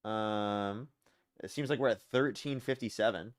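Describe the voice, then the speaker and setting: A young man hosting a live call-in debate stream, briskly screening callers with sarcastic asides. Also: fairly low pitch, no room sound, studio quality. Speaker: livestream debate host.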